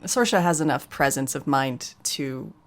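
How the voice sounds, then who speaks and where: Female tabletop player, low-pitched, conversational, descriptive. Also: lively intonation, a little slow. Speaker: player in a role-playing game. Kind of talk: casual description of her character.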